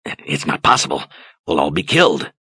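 A man playing a gruff, nervous ship captain who shouts and blusters. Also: sits low, expressive, moderate pace, dry recording, studio quality, exaggerated voice. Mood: blustering.

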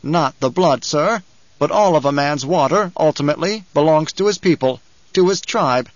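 A man voicing a calm male ecologist who lectures with quiet menace. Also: on the high side, no echo, highs cut off, lightly acted. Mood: lecturing. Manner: lecturing, measured.

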